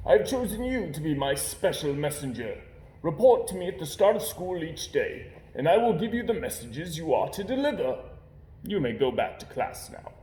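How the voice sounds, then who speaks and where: A man's voice: fairly high pitch, very animated, normal speed, mellow, echoey room, voice pushed up, poor audio. A man voicing a bear school principal from a storybook, speaking formally and emphatically. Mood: official, approving.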